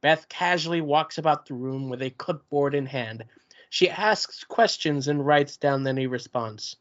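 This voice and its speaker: A man's voice: a little high, a little slow, fairly bright tone. A man reading the stage directions of a play in a table read. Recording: dull lo-fi recording.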